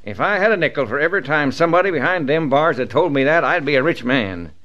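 An actor playing a tough, stubborn small-town sheriff in a radio western, with gruff, folksy frontier speech. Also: male voice, fairly high pitch, lively intonation, quick pace, pushed and bright. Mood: stern, dismissive. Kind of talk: old-time radio western acting. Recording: dull vintage radio broadcast.

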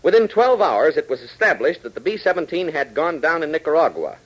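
Vintage radio announcer: American male, dramatic scripted narration, very lively intonation, rough-edged husky tone. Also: on the high side, a little fast, dull audio. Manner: emphatic, dramatic announcer delivery. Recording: old 1940s radio broadcast.

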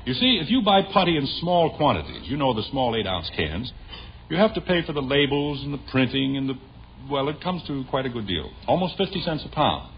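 A man's voice: medium pitch, very animated, brisk. An actor playing a self-satisfied, stubborn middle-aged suburban father in a 1950s radio comedy, explaining himself at length. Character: cheerfully stubborn suburban father defending a silly bargain. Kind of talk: 1950s radio sitcom acting. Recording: muffled old radio recording with hiss.

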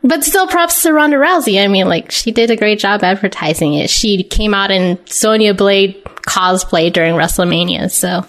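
A woman's voice: mid-range voice, animated, normal speed, few pauses. A woman co-hosting a live-streamed podcast in casual chat, with a blunt, breezy way of talking. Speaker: podcast co-host.

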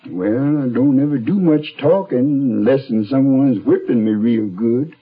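A man's voice: mid-range voice, a little slow, husky. An actor playing an older American tattoo parlor man, folksy and wily, with slangy drawling patter in a radio drama. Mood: wary, gruff. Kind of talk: 1970s radio drama acting. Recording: dull, band-limited 1970s radio recording.

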